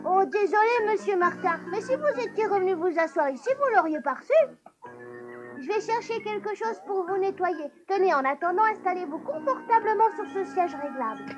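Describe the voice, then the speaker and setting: A woman's voice: very high-pitched, animated, moderate pace, muffled recording, music in the background. A woman voicing an eager, mischievous young boy in a dubbed cartoon. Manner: eager, breathless boyish chatter.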